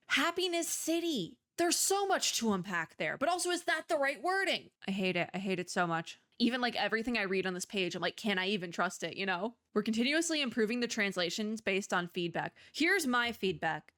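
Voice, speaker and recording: A woman reviews games in a casual, chatty way, like a streamer talking to regular viewers. Her voice sits at a middle pitch. She talks informally and reacts with playful exasperation. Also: fairly bright tone. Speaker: video game reviewer and streamer. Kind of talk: casual chatty game commentary.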